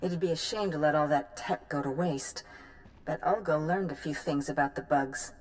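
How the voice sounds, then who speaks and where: Actress as a low-voiced, brisk military scientist. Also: female voice, lightly acted, poor audio. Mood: brusque. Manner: clipped, businesslike. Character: brisk, pragmatic military science commander, hard-nosed woman. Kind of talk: video game character acting.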